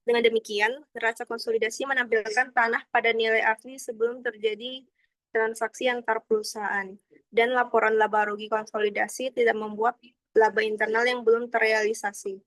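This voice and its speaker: A woman's voice: on the high side, flat delivery, a little fast, a bit bright, no echo, rough recording. A young adult woman gives a formal, explanatory student presentation, partly reading from slides. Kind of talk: slide presentation of accounting material, partly read out.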